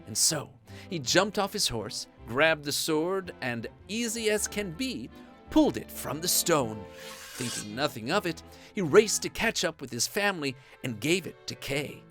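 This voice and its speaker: A storyteller reading a scripted children's bedtime story in an expressive, animated way. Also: medium pitch, a little slow, soft background music.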